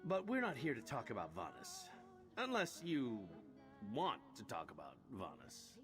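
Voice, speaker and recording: An actor playing a roguish, sincere adventurer in a video game, wry and a little haunted. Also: male voice, mid-range voice, huge pitch swings, a little slow, over music. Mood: playful, wry. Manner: wry banter turning earnest and reflective.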